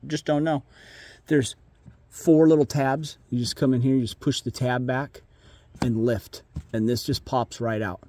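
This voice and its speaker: A man talks through his own vehicle work in a casual, folksy way, with fillers and restarts. His voice sits at an ordinary middle pitch for a man. He is recorded outdoors on a camera mic while moving around the vehicle. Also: steady tone, unhurried, dark tone, slight background noise. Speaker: car modification vlogger. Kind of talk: casual how-to walkthrough.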